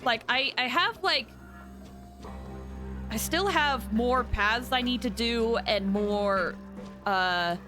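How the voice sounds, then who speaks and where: A chatty woman game streamer with a fairly high voice. She rambles informally to her viewers, with game music clearly playing behind her. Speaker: game livestreamer. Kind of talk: casual chat with viewers while gaming.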